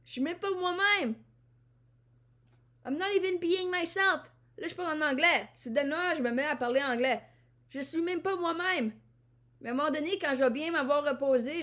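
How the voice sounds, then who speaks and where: High-voiced woman from Ottawa, musing aloud. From Ottawa, Canada. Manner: introspective, repeats and restarts phrases. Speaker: vlogger. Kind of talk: rambling personal vlog monologue.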